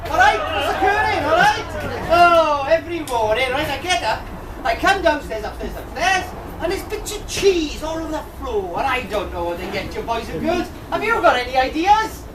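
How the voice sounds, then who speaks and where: A woman's voice: high voice, very animated, moderate pace. A woman playing Buttons, a cheeky, chatty, lovelorn Welsh servant lad in a pantomime, rambling colloquially to the audience. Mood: excited banter. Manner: colloquial, rambling, tag questions. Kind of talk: British pantomime, bantering with the audience. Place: tavern stage performance. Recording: distant, echoey amateur video with crowd noise.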